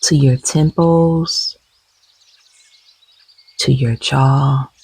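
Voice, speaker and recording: Woman, guided meditation, breathy and husky, very slow, gentle, soothing, soft delivery. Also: very deep voice, steady tone. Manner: gentle, soothing, unhurried with long pauses.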